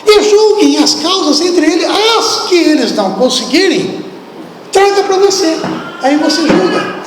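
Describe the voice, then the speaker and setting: A Brazilian man preaches with a very high-pitched voice, speaking directly to his congregation and throwing questions at them. The sound is roomy, with a long church-hall reverb and a faint hiss behind it. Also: unhurried. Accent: Brazilian Portuguese. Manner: animated, acts out biblical speech, asks the congregation questions. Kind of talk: sermon. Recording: echoey hall, distant room.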